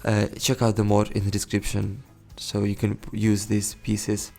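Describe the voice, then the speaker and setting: A young man talks through a city-building game in a loose, rambling way. His voice sits low with a gravelly edge, and he keeps correcting himself and chuckling. Faint background music plays under his voice. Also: monotone, moderate pace, darkish tone. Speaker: let's play host. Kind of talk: casual gameplay commentary.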